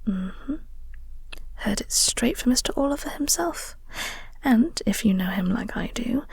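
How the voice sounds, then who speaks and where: A woman playing a flirty, caring coworker in an intimate audio roleplay, speaking softly and gently in a breathy, reassuring way. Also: mid-range voice, no room sound, exaggerated voice. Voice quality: soft, breathy, whispery.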